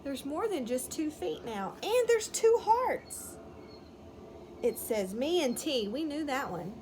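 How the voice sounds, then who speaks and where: A woman with a fairly high, very animated voice reads a picture book to young children like a teacher. She explains simply and checks understanding with questions. She is recorded outdoors, with clear ambient noise and wind chimes behind her. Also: slow. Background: birds chirping, wind chime.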